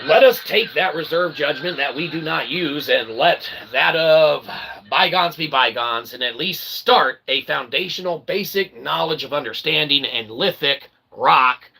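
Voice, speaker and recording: A man hosting a rambling livestream, speaking in a wordy, mock-grandiose, self-deprecating way with a gravelly voice. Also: a little high, pushed and bright, low-quality recording. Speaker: livestream host. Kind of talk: rambling livestream monologue to chat. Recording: noticeable background noise.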